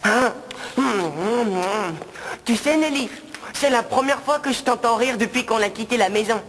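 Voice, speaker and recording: A woman's voice: a little high, moderate pace, close to an everyday voice. A woman voicing an eager, easygoing young boy in a French cartoon dub, with a childlike manner. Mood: cheerful. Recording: loud background ambience noise.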